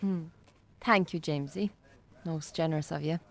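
An actress with an Irish accent playing a friendly, composed, bookish shop worker in a naturalistic radio drama. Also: female voice, sits low, normal speed, gravelly.

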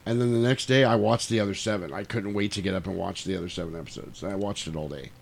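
A man's voice: low voice, quick pace, creaky. Casual, foul-mouthed man in his forties. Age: 40s. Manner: informal, swearing, animated storytelling with acted quotes. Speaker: podcast host. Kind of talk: casual podcast chat.

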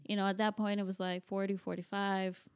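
A woman with a soft voice, a guest on a podcast, talking casually and easily about running art classes, with frequent ums. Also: mid-range voice, a little fast, smooth. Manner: easygoing, relaxed, frequent ums. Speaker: guest, paint-and-sip art instructor.

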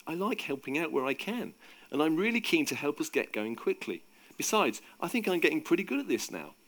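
Storybook role: mild, earnest male preacher, done by a narrator, dreamy, with lilting, varied pitch. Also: big pitch swings, fairly quick, vocal fry, a bit bright, full character voice. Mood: gentle, eager. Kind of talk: dramatised story reading.

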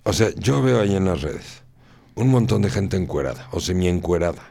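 A man's voice: low voice, animated, moderate pace, vocal fry, warm tone, no room sound. A man hosting a radio talk show, moralizing and repeating phrases for emphasis. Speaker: radio host.

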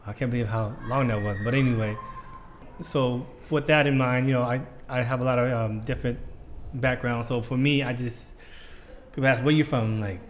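An American man in his thirties, a podcast guest talking in a relaxed, thoughtful way with many fillers. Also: mid-range voice, normal speed, slight background noise. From United States. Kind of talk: casual chat.

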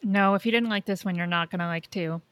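A woman's voice: medium pitch, quick pace, a bit bright, dry recording. Blunt woman chatting, dry close sound. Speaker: podcast co-host. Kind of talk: casual film discussion.

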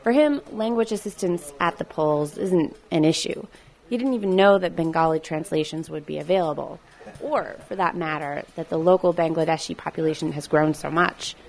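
Low-voiced woman radio reporter, measured narration. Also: a little mellow. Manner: clear measured narration, friendly questions. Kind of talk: news report with field interviews.

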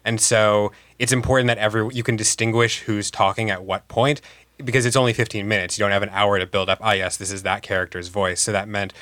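A man's voice: on the low side, little pitch movement, fast, fairly bright tone, no echo. An enthusiastic, emphatic man giving conversational interview answers as a playwright.

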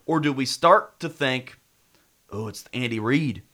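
A man's voice: mid-range voice, a little slow, pushed and bright. An American man hosting casual sports talk radio, congested with a head cold.

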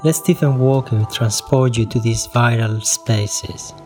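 A man with a mid-pitched voice gives a scripted, scene-setting introduction. He speaks very slowly and deliberately, with faint music underneath. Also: mellow. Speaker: podcast introducer.

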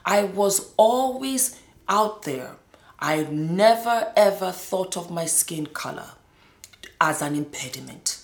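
A woman's voice: on the low side, lively intonation, slow. A middle-aged woman from Nigeria and Scotland giving a personal testimony, speaking emphatically and repeating words for stress. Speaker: former model telling her life story. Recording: roomy, echoing indoor sound.

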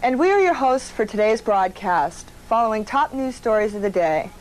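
Actress doing a wooden newscaster lady, reading off a card, slightly high voice, faint hiss. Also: animated, moderate pace, close to an everyday voice, low-quality recording. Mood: stiff, formal. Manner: stiffly reading lines from a cue card. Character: stiff female TV newscaster in a cheap horror film.

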